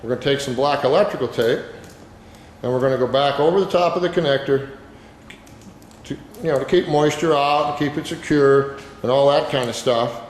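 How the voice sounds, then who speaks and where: A man's voice: medium pitch, a little fast. Man giving instructions in a very echoey space. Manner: plain-spoken, informal, step-by-step. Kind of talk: hands-on how-to demonstration. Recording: very echoey reverberant room, background noise.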